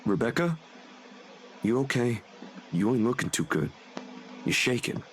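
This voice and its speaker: Protective, mid-pitched young man. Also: very animated, unhurried, slight background noise. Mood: concerned. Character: loyal, protective young man, casual and concerned friend. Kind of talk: video game voice acting.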